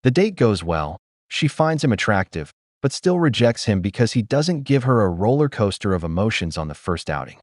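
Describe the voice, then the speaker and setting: Opinionated man with a low, lively voice. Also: normal speed, no echo, studio quality. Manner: opinionated, critical. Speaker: commentator. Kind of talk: voice-over commentary.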